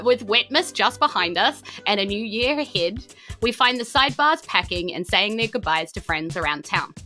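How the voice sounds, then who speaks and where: A woman with a New Zealand accent runs a tabletop game as its host. Her voice is bright and sits at an average pitch. She mixes theatrical storytelling with chatty asides over faint music. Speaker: game master and podcast host. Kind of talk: narrating a tabletop game on a stream.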